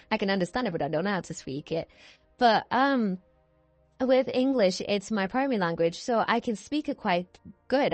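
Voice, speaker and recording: A woman's voice: on the high side, dry recording. A young woman giving a casual, rambling voiceover as a game builder, drifting off on tangents and using lots of fillers. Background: faint background music.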